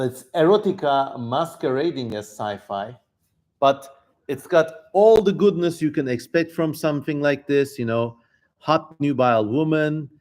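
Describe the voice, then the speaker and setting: Male livestream host, somewhat high voice, casual and effusive, chatting straight to viewers. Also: a little mellow. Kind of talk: casual livestream chat, reading out viewer comments.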